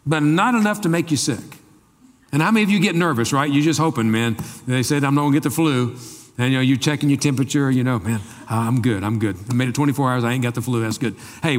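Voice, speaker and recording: A male pastor giving a folksy sermon, joking and asking the congregation quick questions. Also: mid-range voice. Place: church sanctuary. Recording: long reverb of a large hall.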